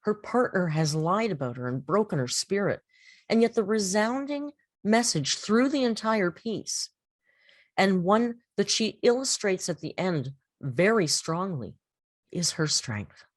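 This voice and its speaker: Female reader for dramatic poetry and critique; grandiose, unhurried, very expressive intonation. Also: mid-range voice, no room sound. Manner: theatrical, deliberate, grandiose delivery. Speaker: host reading and critiquing a poem. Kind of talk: dramatic poetry reading and literary commentary. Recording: music under the voice. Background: background music.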